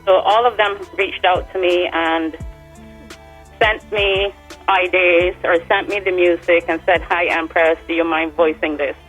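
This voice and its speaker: A woman's voice: lowish voice, steady tone, a little slow, soft background music, rough recording. Guest singer, woman, 40s, Swedish, easygoing talker. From Sweden. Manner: warm, chatty, laughs easily. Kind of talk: casual radio interview.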